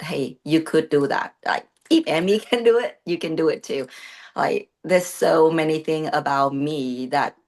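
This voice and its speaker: A woman in her thirties with a Vietnamese accent, a coach and competitor speaking earnestly in a casual interview, often pausing with fillers and restarting sentences. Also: low-pitched. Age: 30s. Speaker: guest, bodybuilding competitor and coach.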